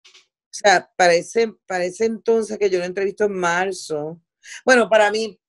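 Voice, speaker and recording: A woman's voice: mid-range voice, unhurried. A middle-aged Puerto Rican woman talking conversationally in an interview, repeating words for emphasis and dropping English words into her Spanish. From Puerto Rico. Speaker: interviewee, veteran television journalist.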